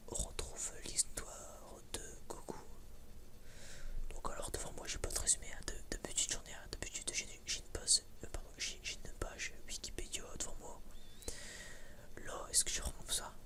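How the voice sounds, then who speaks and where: A young man with a French accent whispering as an ASMR video host, rambling hesitantly and often repeating himself. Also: normal speed.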